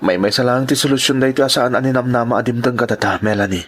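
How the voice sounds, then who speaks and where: A man playing a scheming, lovestruck young man in an audio drama, with an animated delivery. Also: medium pitch, quick pace, breathy edge. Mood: insistent.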